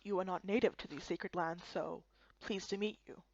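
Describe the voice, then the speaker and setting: A woman voicing a solemn, courteous elderly male kappa in a fantasy game, speaking in a formal, hushed way. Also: on the low side, normal speed, dull audio, pitched below the performer's own voice. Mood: solemn, polite.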